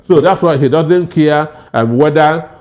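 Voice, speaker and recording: Slow, halting Nigerian male pundit, dull audio. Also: fairly high pitch, a little mellow. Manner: halting, stammering, heavily hesitant. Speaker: political analyst panel guest. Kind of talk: political commentary.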